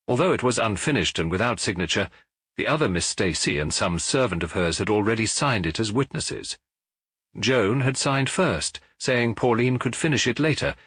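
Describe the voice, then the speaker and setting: A man voicing a little priest detective in an audiobook, gentle and earnest as he explains in a measured, lecturing way. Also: medium pitch, slightly rough, no echo. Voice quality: gentle, even. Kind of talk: audiobook character dialogue.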